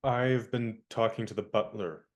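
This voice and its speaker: A man's voice: fairly low pitch, measured pace, close to an everyday voice. An actor playing an easygoing young American man who speaks politely and a bit sheepishly. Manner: polite, casual, slightly sheepish. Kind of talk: radio play acting.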